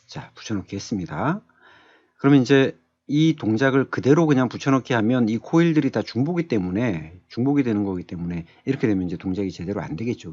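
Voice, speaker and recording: Husky male instructor, lively pitch swings. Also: no echo. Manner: conversational, thinking aloud, often checking with short tag questions. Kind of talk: screen tutorial, explaining step by step. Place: indoors, screen-capture voice-over. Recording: dull lo-fi close voice-over.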